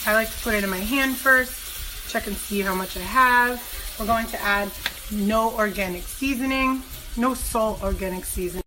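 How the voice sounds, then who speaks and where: A woman's voice: medium pitch, moderate pace, pushed and bright, low-quality recording. Chatty woman cooking over a sizzling pan. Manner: chatty and joking, talks straight to viewers. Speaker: cooking show host. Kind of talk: casual cooking demonstration. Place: kitchen by the stove. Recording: frying sizzle noise in a kitchen.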